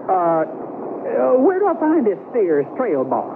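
Radio Western drifter: shifty retired soldier, animated, folksy, hesitant, heard through heavy static. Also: male voice, high voice, takes it slowly, creaky, narrow, tinny audio, full character voice. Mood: nervous, evasive. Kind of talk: old-time radio Western acting. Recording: noisy, thin vintage radio recording.

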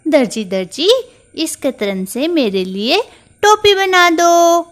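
A boastful little male mouse from a children's storybook, as a woman puts him on, shrill and cocky, chanting his threats in rhyme. The voice swoops high and lively, and it is loud and pushy. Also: takes it slowly, heavily acted.

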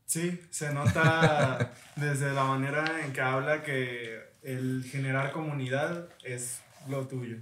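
A man's voice: medium pitch, steady tone, takes it slowly, a crowd in the background. A man hosting a podcast, who talks in a friendly, conversational way with casual fillers. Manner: friendly, conversational, uses fillers like "pues" and "este". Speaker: podcast host. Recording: roomy, echoey room.

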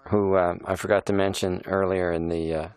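A middle-aged actor and writer chatting playfully on a film commentary. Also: male voice, sits low, little pitch movement, fairly quick, darkish tone, clean tone, no echo. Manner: joking, playful, breaks into voices.

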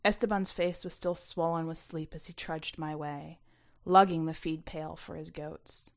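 A woman reading scripted first-person fiction aloud, expressively, with some hushed asides. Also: deep voice, steady tone, measured pace. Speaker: story reader.

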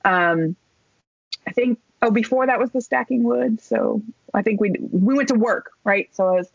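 A woman in her thirties telling a story as a podcast guest, talking in a casual, animated way with lots of fillers and the odd swear word. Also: a little high, moderate pace, gravelly, breathy edge, no room sound. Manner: animated, chatty, many fillers and swear words. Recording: dull dry podcast recording.

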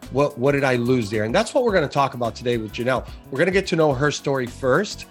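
Male podcast host, upbeat and conversational, mid-pitched, faint music bed. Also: sing-song, fast talker. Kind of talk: podcast introduction and interview.

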